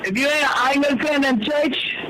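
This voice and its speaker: A man's voice: extremely high pitch, moderate pace, pushed and bright, slight background noise, poor audio. A Bahamian man in his fifties calling in to a radio show, emphatic and opinionated. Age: 50s.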